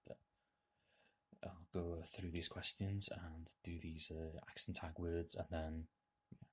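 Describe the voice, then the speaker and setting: A man's voice: low-pitched, slight background noise. A young man from North Wales, a native Welsh speaker with a North Welsh accent, answering accent tag questions at home in a hesitant way, with many ums while he reads off a laptop. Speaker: YouTuber.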